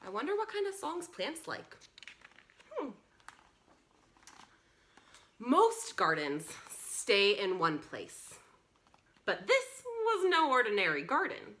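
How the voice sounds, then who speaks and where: A woman reads a children's story aloud in a bright, forward voice. Her pitch moves widely and expressively as she narrates. She speaks directly to young listeners with encouraging asides, like a storytime presenter. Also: wildly swooping pitch, takes it slowly.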